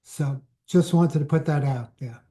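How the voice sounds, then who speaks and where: A man in a support group on a video call, sharing a memory in a plainspoken way with pauses. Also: fairly high pitch, dark tone. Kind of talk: personal sharing.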